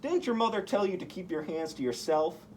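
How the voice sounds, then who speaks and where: A cocky storybook superhero, played by a male reader, tosses out taunting wisecracks. The voice sits fairly high and bounces around in pitch, over some background noise. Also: expressive, brisk. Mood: cocky, joking.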